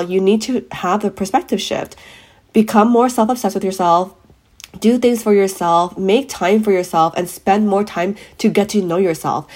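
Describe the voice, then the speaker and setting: A woman hosting a podcast, her voice a bit raspy from a cold coming on, giving an earnest, emphatic motivational monologue to her listeners. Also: medium pitch, a little mellow. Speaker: podcast host.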